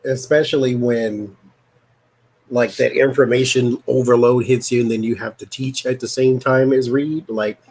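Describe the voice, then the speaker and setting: A husky man hosts a casual live stream and chats in a relaxed way. He explains things as he goes, like a psychic reader talking shop, with a soft laugh now and then. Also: medium pitch, moderate pace, poor audio.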